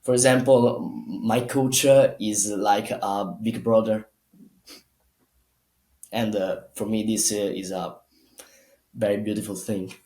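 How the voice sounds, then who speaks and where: A young man with an Italian accent answers interview questions in careful, broken English. He talks slowly and stops often to find the next word. His voice is soft and mellow, and the room around him echoes. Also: lowish voice, steady tone. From Italy. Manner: halting, many fillers and restarts. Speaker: gymnast guest.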